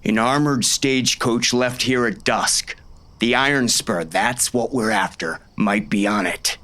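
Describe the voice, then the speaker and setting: An actor playing a hard, commanding western gang boss, stern and clipped, in an audiobook. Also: male voice, mid-range voice, measured pace, pushed and bright. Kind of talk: first-person western audiobook narration and dialogue.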